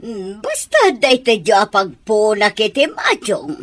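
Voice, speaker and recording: A woman's voice: medium pitch, sing-song, unhurried. A woman playing a greedy, scheming older mother in an audio drama, with a drawling, wheedling way of talking. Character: greedy, scheming older mother who loves gambling at mahjong.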